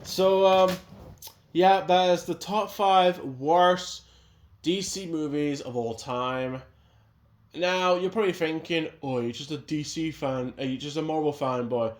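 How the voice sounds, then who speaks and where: A man talks through his movie picks in a loose, rambling way, full of strong opinions and restarts. His voice sits a little high for a man, and the room gives it a noticeable echo. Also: unhurried. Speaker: video host. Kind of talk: casual movie-ranking chat. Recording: echoey room sound.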